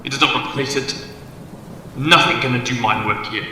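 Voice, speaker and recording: An actor playing an ancient, menacing devil bound to a bridge, making pompous, theatrical declarations in an audio drama through heavy reverb. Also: male voice, mid-range voice, normal speed, bright, forceful tone. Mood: forceful, warning. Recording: distant, cavernous echo, noisy ambience.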